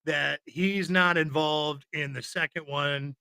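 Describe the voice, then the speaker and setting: A man's voice: on the high side, fairly even intonation, takes it slowly, creaky, pushed and bright, clean tone, no echo. A man co-hosting a podcast, talking casually about films in a rambling, informal and opinionated way. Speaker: podcast co-host. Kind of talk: casual film chat.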